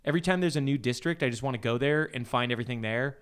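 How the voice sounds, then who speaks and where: A man's voice: mid-range voice, racing, clear, resonant tone. Enthusiastic man in casual podcast chat. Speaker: podcast panelist. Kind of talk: casual chat about video games.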